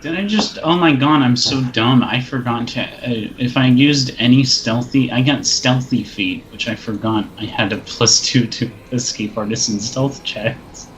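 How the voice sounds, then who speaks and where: A man's voice: mid-range voice, a little slow, hardly any pauses, a bit bright, highs cut off. Jokey man gamer, faint background noise. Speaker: tabletop game player. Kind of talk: casual game banter.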